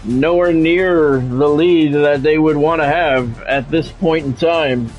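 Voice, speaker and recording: A man's voice: on the high side, slow, darkish tone, dull audio, faint music behind. A man doing sports play-by-play of a video game on a stream, mixed with casual chat with viewers.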